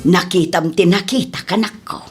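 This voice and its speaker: A woman's voice: lowish voice, normal speed, soft background music, natural voice. A woman playing a nosy, doting middle-aged mother in an audio comedy-drama, with an Ilocano accent and a drawling, gossipy delivery. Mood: gossipy, pitying.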